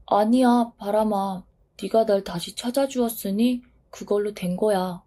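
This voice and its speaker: A woman's voice: medium pitch, steady tone, a little slow, mellow, close to an everyday voice. A woman voicing a kind dandelion seed in a storybook reading, soothing and reassuring. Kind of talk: storybook voice acting.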